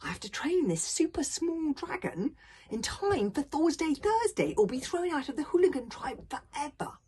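A woman's voice: medium pitch, big pitch swings, brisk, breathy edge, voice pushed up. A woman voicing a gloomy, self-doubting young Viking boy in a storybook, complaining in a plaintive way. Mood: cross, protesting. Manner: loud, plaintive protesting.

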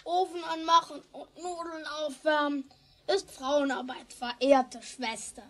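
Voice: sits high, steady tone, measured pace. A performer playing a sulky, cheeky young schoolboy who complains defiantly in a children's radio play. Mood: stubborn, defiant.

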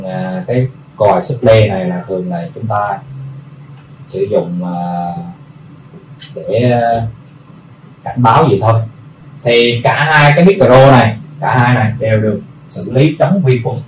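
Man demonstrating products in a roomy, distant recording. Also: fairly low pitch. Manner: explanatory, repetitive, halting with word searching. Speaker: product presenter. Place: indoor room full of audio equipment. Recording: roomy, distant mic, faint background noise.

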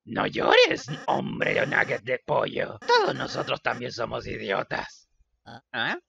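A man voicing a brash, teasing teenage monster girl with gruff kindness in a video game fandub, blunt and slangy. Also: mid-range voice, wildly swooping pitch, slow, sharp tone, lo-fi sound, pitched below the performer's own voice, heavily acted. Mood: gruffly consoling.

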